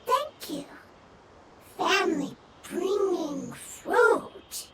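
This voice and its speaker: Small elemental creature, piping high, painfully slow halting fragments, video game, far from mic. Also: female voice, expressive, very noisy, full character voice. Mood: halting, worried. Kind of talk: video game character acting.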